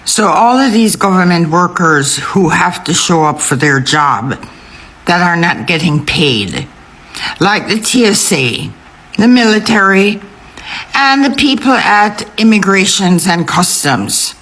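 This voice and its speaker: A woman giving impassioned political commentary in an emphatic, indignant manner, repeating words deliberately for weight. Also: fairly low pitch, lively intonation, fairly bright tone, poor audio.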